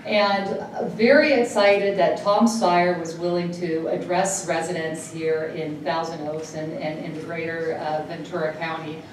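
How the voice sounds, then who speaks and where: A woman's voice: low-pitched, fairly even intonation. Dutch-born woman speaking in an echoey hall. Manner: halting, with frequent filler sounds and restarts. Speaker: state assemblymember hosting a speaker. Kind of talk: formal speech introducing a guest. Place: university event hall. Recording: echoey hall, distant mic, noisy.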